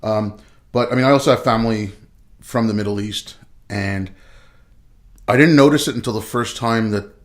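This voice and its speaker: A man's voice: lowish voice, normal speed, pauses often. A man born in India and raised in Canada, a guest talking casually and irreverently, piling up examples. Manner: chatty and irreverent, piles up examples. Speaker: guest, comedy video maker. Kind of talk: casual conversational interview.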